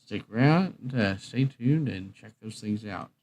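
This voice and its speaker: Low-pitched man rambling casually on livestream. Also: lively intonation, measured pace, darkish tone, dull audio. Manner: hesitant, thinking aloud. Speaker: livestream host.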